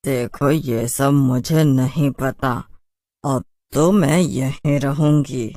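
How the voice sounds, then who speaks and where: A woman's voice: very deep voice, takes it slowly, mellow, no echo, studio quality. A woman voicing a haughty, spoiled, taunting city witch in a cartoon horror story. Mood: complaining. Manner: taunting, dismissive. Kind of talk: animated horror story dialogue.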